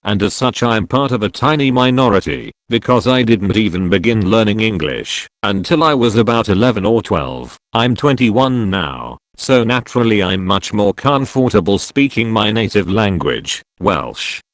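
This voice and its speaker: A man narrating a story read from an online forum in an animated storytelling manner. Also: lowish voice, moderate pace, hardly any pauses, no room sound. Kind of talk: reading online stories aloud.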